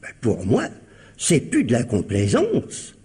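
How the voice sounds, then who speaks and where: A sardonic police inspector in a radio mystery. His voice is very husky and leaps around in pitch. He talks colloquially, sceptical and mocking. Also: wildly swooping pitch, mellow, full character voice. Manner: colloquial, mocking, drawn-out phrasing. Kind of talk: French radio crime drama acting.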